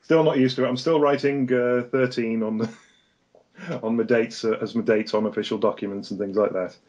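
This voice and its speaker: A man from England with a Lancashire accent, a guest comic artist chatting in a podcast interview, pausing often on uh and um as he talks. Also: mid-range voice, fairly even intonation, darkish tone, muffled recording. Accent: Lancashire English. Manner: hesitant, many "uh" and "um" fillers.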